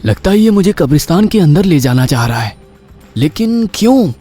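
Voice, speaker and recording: A man playing a puzzled, dutiful police inspector in an animated horror story, in a dramatic, questioning manner. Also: fairly high pitch, moderate pace, soft background music.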